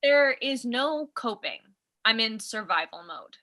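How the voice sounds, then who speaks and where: Female student actress, high and sharp, slow halting delivery: anxious, overwhelmed, wry, naturalistic play reading. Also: animated. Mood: overwhelmed, fretful. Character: overwhelmed, anxious young woman college student, self-deprecating. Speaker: actor in a staged play reading. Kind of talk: naturalistic dramatic dialogue, read online.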